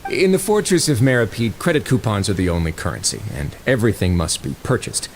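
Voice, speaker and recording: An actor playing a composed, mysterious prison duke in a video game, speaking in a polite, deliberate, authoritative way over music. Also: male voice, fairly low pitch, very animated, slight background noise. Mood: measured, reasoning. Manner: measured, polite, smoothly deliberate. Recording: music behind the voice.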